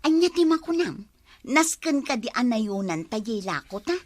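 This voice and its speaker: A woman's voice: a little high, big pitch swings, normal speed, no echo. A woman with an Ilocano accent playing a nagging, complaining wife in a village comedy drama.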